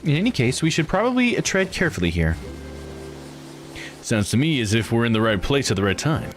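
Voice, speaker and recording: Man voicing an earnest, lively-toned lore expert. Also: medium pitch, fairly quick, faint music behind, close to an everyday voice. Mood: earnest, cautionary. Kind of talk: visual novel dialogue read aloud.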